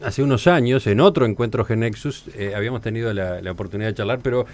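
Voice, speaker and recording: A man hosting a radio interview, with emphatic, deliberate phrasing. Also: on the low side, a little mellow. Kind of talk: interview with scripted introduction.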